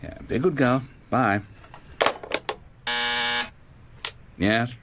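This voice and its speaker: An actor playing a courteous, dryly witty middle-aged British hospital doctor in an audio drama. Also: male voice, medium pitch, moderate pace, heavy background noise, natural voice. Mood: dryly exasperated. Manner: courteous, dry, wry.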